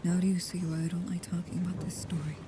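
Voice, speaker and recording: A woman playing a guarded, protective vampire with a painful past, speaking slowly, softly and hesitantly, often in whispers, in an intimate ASMR roleplay. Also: fairly low pitch, flat delivery, background noise, lightly acted. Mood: somber, confessing.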